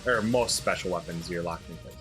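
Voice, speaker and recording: A young man giving casual, halting video game commentary while he plays. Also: deep voice, fairly bright tone. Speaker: let's play host playing the game. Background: faint game music.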